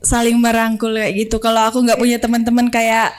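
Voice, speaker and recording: A young woman from Bali, a podcast guest, talking in a relaxed, reflective way and mixing in English phrases. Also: on the high side, fairly quick. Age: young adult. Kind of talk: casual chat.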